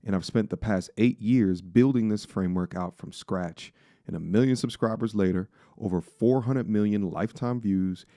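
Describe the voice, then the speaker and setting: A man's voice: fairly low pitch, dark tone, dry recording, clean studio sound. A man in his forties giving opinionated reaction commentary in an emphatic, preachy manner, often posing rhetorical questions. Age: 40s.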